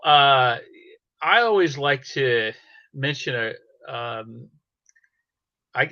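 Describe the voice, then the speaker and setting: A man with a bright, forward voice at medium pitch speaks as a podcast panel guest. He chats casually and haltingly, often searching for words. The audio is dry and slightly dull. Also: no room sound.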